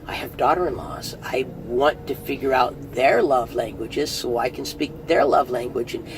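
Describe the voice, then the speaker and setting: A man's voice: medium pitch, normal speed, background noise. A middle-aged man giving a casual, earnest spoken review, chatty and often restarting phrases. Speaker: audiobook reviewer.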